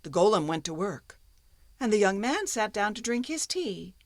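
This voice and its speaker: A woman narrating a folktale as a storyteller, with expressive, dramatic phrasing. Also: medium pitch, normal speed, no room sound, clean studio sound.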